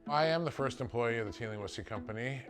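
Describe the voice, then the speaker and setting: A man's voice: mid-range voice, animated, fast. A man from Portland, Oregon, with an American accent, talking about making whiskey in a documentary interview, thoughtful and enthusiastic. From Portland, Oregon, USA. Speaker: distillery's first employee, whiskey maker. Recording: interview with clear music underneath.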